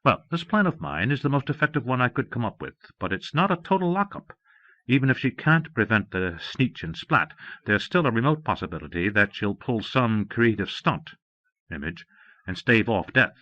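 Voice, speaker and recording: A man's voice: mid-range voice, sing-song, normal speed, no echo, close to an everyday voice. Casting: male narrator as devoted but worried she-creature servant, earnest, questioning, formal audiobook dialogue, lo-fi tape. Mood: earnest, cautious. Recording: dull lo-fi cassette audiobook.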